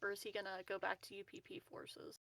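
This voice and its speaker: A woman's voice: mid-range voice, huge pitch swings, quick pace, creaky, a bit bright, no echo, studio quality. A woman chatting informally and playfully as a player in a tabletop roleplaying game. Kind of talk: casual chat.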